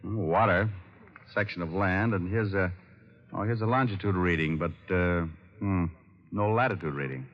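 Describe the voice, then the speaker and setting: An actor playing a terse, world-weary private detective in an old-time radio crime drama. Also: male voice, low-pitched, moderate pace, natural voice. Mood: weary, intent. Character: hard-boiled male private detective narrating his own story. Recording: muffled old radio recording with music.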